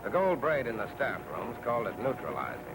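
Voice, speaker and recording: A man's voice: mid-range voice, wildly swooping pitch, a little fast, loud music behind the voice. An American man narrating a scripted 1940s wartime newsreel in a punchy, colloquial, patriotic style. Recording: noisy 1940s film soundtrack with artillery.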